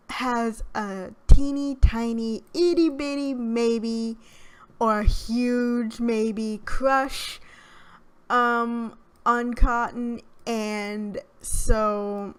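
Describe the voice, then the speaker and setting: A young woman with a soft, shy voice, chatting through a game video in a hesitant, rambling way. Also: on the high side, clean tone. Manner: rambling, hesitant with many fillers. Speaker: gaming YouTuber. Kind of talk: casual let's play commentary.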